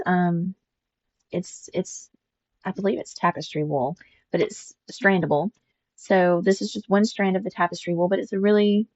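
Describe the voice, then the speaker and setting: A woman's voice: medium pitch, darkish tone, muffled recording. An older woman, chatting casually about her stitching with many restarts and repeats. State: congested. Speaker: embroidery vlogger.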